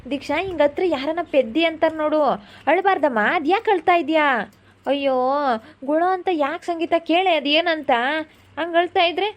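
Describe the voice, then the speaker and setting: A woman acts out a caring family man in a homespun drama. The voice is high for an adult, with a soothing, coaxing manner and everyday colloquial phrasing. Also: a little fast, lightly acted. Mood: concerned, coaxing. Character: caring, reassuring adult husband and brother-in-law.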